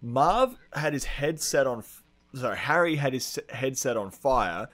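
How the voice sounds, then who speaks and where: A man's voice: medium pitch, very animated, measured pace, a bit bright. A man hosting a film-fan podcast, chatting casually with plenty of swearing in a rambling, enthusiastic, slangy way. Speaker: podcast host.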